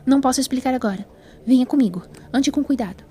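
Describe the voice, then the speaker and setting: A woman's voice: high voice, fast, dark tone, soft background music, natural voice. Woman playing a resolute time-travelling heroine. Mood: urgent. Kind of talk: audio drama acting.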